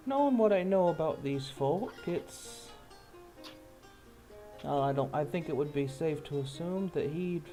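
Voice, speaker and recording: A slightly high-voiced, guarded male informant from the criminal side of a fantasy town , played by a man at a game table. He talks in a folksy, measured way, hesitating as he weighs what to share. Soft music plays behind him. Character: wary low-life male thief and informant, casual and guarded.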